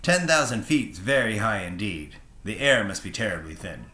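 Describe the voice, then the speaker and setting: A man voicing a kindly, cautious older male professor who speaks gently and solicitously. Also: lowish voice, expressive, moderate pace, echoey room. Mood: soothing.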